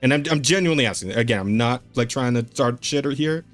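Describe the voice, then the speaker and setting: Young guy podcast host on a discord call, rambly, keeps tripping over his words, soft music behind. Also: medium pitch, fast talker. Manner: rambling, repeats words, laughs often. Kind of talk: casual podcast chat.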